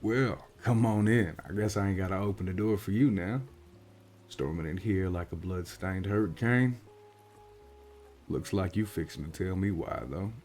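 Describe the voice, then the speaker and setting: A man voicing a gruff, world-weary orc private investigator in a soft-spoken roleplay, with a folksy, wry drawl. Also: low voice, moderate pace, faint music behind, natural voice. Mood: wry, grumbling. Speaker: voice actor in roleplay audio.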